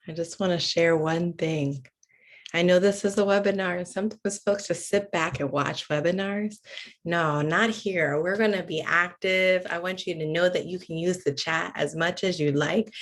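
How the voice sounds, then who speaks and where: A woman who is a professor, presenting a webinar in an upbeat, enthusiastic and inviting way over a video call. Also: lowish voice. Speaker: adjunct expert and professor.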